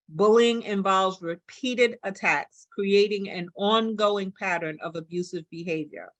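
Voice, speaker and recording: A woman giving a workshop presentation as a union staff presenter, halting and emphatic as she restarts her phrases. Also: medium pitch, fairly bright tone, dry recording.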